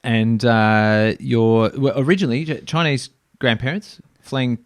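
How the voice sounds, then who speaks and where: A man hosting a podcast interview, talking warmly with frequent ums and restarts. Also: medium pitch, steady tone, a little slow, dry recording, clean studio sound. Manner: warm, hesitant with many ums and restarts. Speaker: podcast host.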